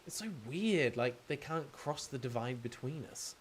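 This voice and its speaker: A man's voice: mid-range voice, animated, moderate pace, a little hiss or hum. A man streaming a game, reading visual novel narration aloud and adding casual commentary. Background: music.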